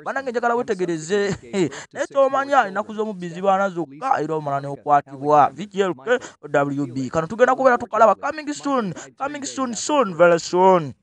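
A man narrates a movie trailer at breakneck speed in a high, swooping voice. He is excitable and chuckles between lines, and he keeps coming back to the same catchphrases.

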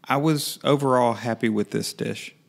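Gravelly-voiced man reviewing a dish. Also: medium pitch, normal speed. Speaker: vlog co-host. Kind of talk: scripted voice-over review.

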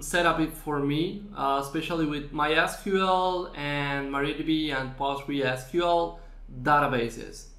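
A man presenting a screen-recorded software tutorial, explaining step by step with frequent fillers. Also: a little high. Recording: echoey room.

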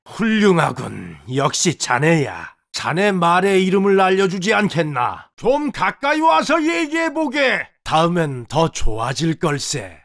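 A kindly old grandfather rancher from a video game. His voice sits on the high side for a man and swings very widely in pitch. He speaks slowly, in a hearty, folksy, encouraging manner. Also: wildly swooping pitch, creaky, a bit bright, exaggerated voice. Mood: calling out. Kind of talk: video game character voice lines.

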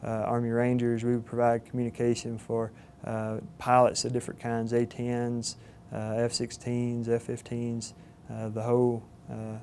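A young man in his twenties with a Southern Georgia accent, earnest and halting with fillers, speaking in an interview. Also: medium pitch, monotone, a little mellow, a little hiss or hum. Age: 20s. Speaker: cadet candidate. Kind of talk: interview testimonial. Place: studio with plain backdrop. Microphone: lapel mic.

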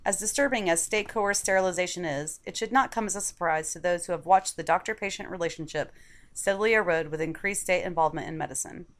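A woman narrates a written opinion piece in a fairly low voice. Her delivery is measured and articulate, clearly read from a prepared script. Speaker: article reader.

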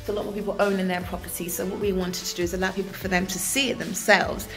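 A woman's voice: medium pitch, flat delivery, fast talker, over music. A woman in her thirties giving a chatty, enthusiastic walk-through of a renovated house, filmed on a handheld phone in empty rooms. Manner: chatty, enthusiastic, repeats phrases for emphasis. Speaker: property developer. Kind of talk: walk-through property tour vlog. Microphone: phone camera held at arm's length.

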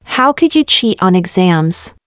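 A woman's voice: lowish voice, very animated, fairly bright tone, no echo. Woman reading lesson questions, dry close. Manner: clear, measured question reading. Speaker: language lesson reader. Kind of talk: slow repeated example questions for learners.

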